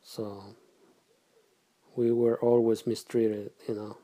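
A middle-aged man from Guatemala, answering questions in a casual family interview, halting and soft-spoken with pauses and restarts. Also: mid-range voice, flat delivery. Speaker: interviewee, father.

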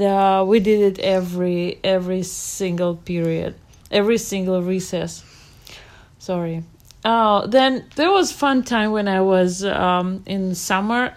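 A woman, answering interview questions about her childhood in an unhurried way, searching for her words. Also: mid-range voice, steady tone. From Russia. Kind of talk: casual childhood memories.

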